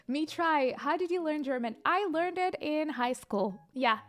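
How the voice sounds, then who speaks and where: A young woman, a livestreamer chatting casually and reading viewer comments aloud, excitable and hesitant in her English. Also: high voice, a little slow. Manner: chatty, excitable, bursts of squeals and laughter; hesitant non-native English with many fillers.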